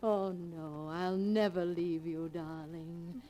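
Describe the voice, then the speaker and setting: Sweetly menacing ghostly wife, old radio. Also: female voice, fairly low pitch, little pitch movement, slow pace, mellow, slight background noise. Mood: possessive, menacing. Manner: intimate, coaxing, theatrical. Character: dead possessive wife possessing another woman's body, sweetly menacing. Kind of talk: 1940s radio drama acting.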